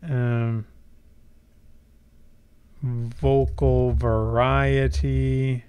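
A middle-aged man hosting a casual software tutorial, explaining conversationally and thinking aloud as he goes. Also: mid-range voice, little pitch movement, extremely slow, clean tone. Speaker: tutorial host.

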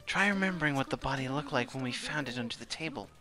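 A man with a middling pitch voices game lines in a casual fan dub among friends. He sounds jokey and loose, with music behind him. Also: expressive, fast, sharp tone. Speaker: amateur fan dubber.

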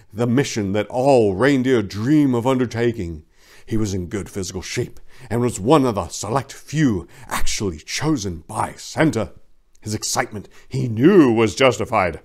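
A man's voice: mid-range voice, animated, normal speed. A man in his forties reading a story in a theatrical, mock-grand, hammy manner. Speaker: dramatic reader, channel host. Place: small indoor room lined with bookshelves. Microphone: lapel mic.

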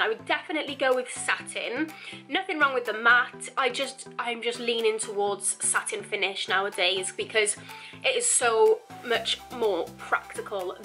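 A woman's voice: fairly high pitch, pushed and bright. A young woman, answering viewer questions about her home renovation in a chatty, rambling vlog. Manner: chatty, upbeat, rambling with restarts. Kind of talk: casual Q&A vlog. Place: indoors at home, virtual backdrops.

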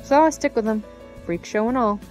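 A woman's voice: a little high, normal speed, darkish tone, soft background music, natural voice. A woman playing a candid, chatty young graduate student in an audio drama. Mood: wry. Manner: conversational, candid.

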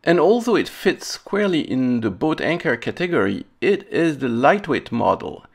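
A man's voice: fairly high pitch, sing-song, moderate pace, vocal fry, slightly rough. A man hosting a hobby electronics video, narrating a demonstration casually with playful, wry humor. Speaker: host of electronics restoration video channel.

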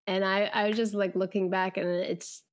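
A woman chatting casually as a podcast co-host, reacting with quick interjections. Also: medium pitch. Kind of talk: casual chat.

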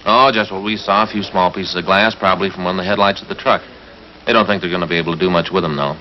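A middle-aged man playing a steady, plain-spoken police detective, terse and matter-of-fact in 1950s procedural style. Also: deep voice, fast talker, natural voice. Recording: dull, faintly noisy 1950s film soundtrack.

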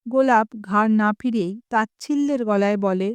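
A woman's voice: mid-range voice, moderate pace, clean tone, dry recording. A woman telling a humorous short story aloud, giving the quoted lines a little expression.

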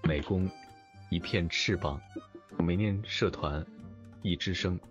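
A man hosting casually, with a hesitant, halting way of speaking. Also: sits low. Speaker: interview host. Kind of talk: casual hosting, reading out credits and questions. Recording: dull lo-fi voice chat with music.

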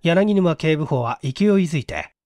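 Male audiobook reader for detective fiction. Theatrical, fairly high voice, lively intonation. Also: moderate pace, no room sound, studio quality. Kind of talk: dramatic scripted narration of a mystery story.

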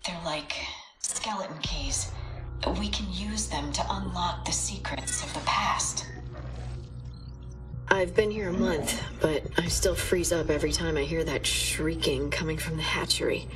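An actress playing a brilliant, earnest young woman scientist, uneasy and confiding, narrating a game cutscene in a measured way. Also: sits low. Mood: thoughtful. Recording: roomy, distant, under loud music.

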